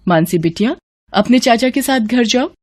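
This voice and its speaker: Woman acting a motherly matron, kind, earnest, reassuring, animated moral tale. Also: fairly high pitch, normal speed, pitched above the performer's own voice.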